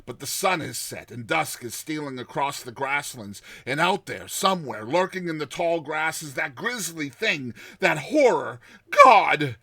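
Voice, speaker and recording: A man voicing a terrified man telling his nightmare in a dramatized story, with tense pauses, hushed passages and explosive outbursts. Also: fairly high pitch, lively intonation, no echo, heavily acted. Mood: dread. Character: terrified man recounting his nightmare of a lurking giant serpent.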